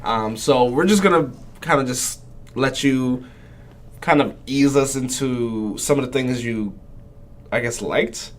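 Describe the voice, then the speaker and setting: A congested young man co-hosts a relaxed podcast chat. He sits back from the microphone and speaks softly, hedging with plenty of fillers. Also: mid-range voice, a little slow. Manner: hesitant, many fillers, soft-spoken. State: congested from a cold. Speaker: podcast co-host. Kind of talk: casual chat. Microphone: sitting far from the mic.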